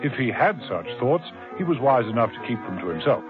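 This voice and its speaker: A man's voice: medium pitch, sing-song, brisk, narrow, tinny audio. A man narrating a 1970s radio drama serial in a dramatic, wry storytelling manner. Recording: thin old radio recording with music.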